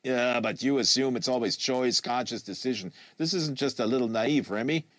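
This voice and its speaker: A man's voice: medium pitch, normal speed, a bit bright, no room sound, studio quality, natural voice. A man voicing a weary, sardonic American field agent in a novel reading, with dismissive, needling, colloquial delivery. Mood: challenging, sardonic.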